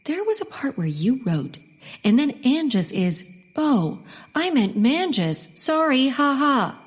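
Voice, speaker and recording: A cautious, earnest Victorian woman of science acted by a woman in a comic audio play. The voice is breathy and rough-edged, with lively melodic swings, yet the manner stays dry and literal. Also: high voice, slow pace, exaggerated voice. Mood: dry, reproachful. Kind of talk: comedic period audio drama acting.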